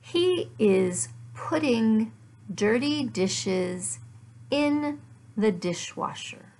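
A middle-aged woman, an English teacher, giving a lesson in deliberate, word-by-word phrases with repeated questions and answers. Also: a little high, lively intonation, darkish tone. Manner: deliberate, word-by-word enunciation for learners. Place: small furnished room at home.

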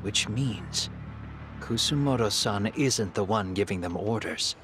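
An actor playing a grave, calculating middle-aged man in a video game drama, speaking in a measured, deliberate way. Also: lowish voice, music in the background, lightly acted. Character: composed middle-aged male fugitive avenger, calculating and grave.

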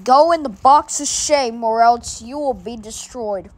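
A woman's voice: a little high, measured pace, close to an everyday voice. Woman doing a gloating, bossy punisher kid. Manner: dramatic, gloating announcements. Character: bossy punishing older boy, gleefully cruel. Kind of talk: homemade cartoon skit.

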